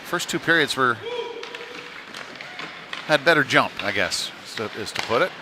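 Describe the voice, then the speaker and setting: A man gives live play-by-play of a hockey game in a mid-range voice. His phrasing is halting, often pausing partway through a sentence. Clear venue noise from an indoor rink runs behind him. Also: mid-range voice, expressive. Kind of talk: live sports commentary. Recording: noisy rink sound behind the voice.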